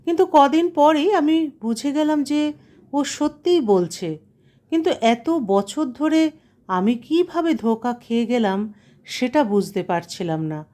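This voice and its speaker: A woman reading a scripted first-person story in an expressive, sentimental manner. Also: high voice, measured pace. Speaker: story narrator.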